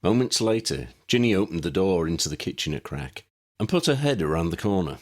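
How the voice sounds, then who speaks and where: A man's voice: low voice, very animated, a little fast. Expressively intoned male audiobook narrator. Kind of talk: expressive scripted narration of a fantasy story.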